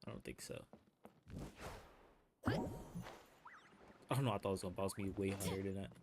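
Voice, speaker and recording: A man's voice: deep voice, normal speed, very noisy. A young man giving casual, slangy gameplay commentary as a streamer. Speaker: gaming streamer. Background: game music.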